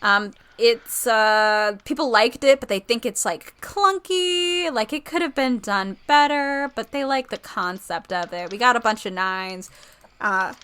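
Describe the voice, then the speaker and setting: A woman's voice: on the high side, measured pace, a bit bright, smooth. A woman podcast co-host chatting casually about a TV show in an informal, rambling way, often correcting herself.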